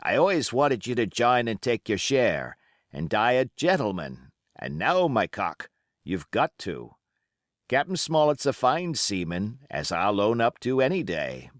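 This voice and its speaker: A sly old seafaring pirate played by a male reader, all cajoling sailor talk with menace under the geniality. The pitch swoops about freely and the pace is unhurried, as in a storybook told aloud. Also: no room sound, studio quality. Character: wily old male sea-cook pirate captain, genial yet menacing. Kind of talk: audiobook character acting.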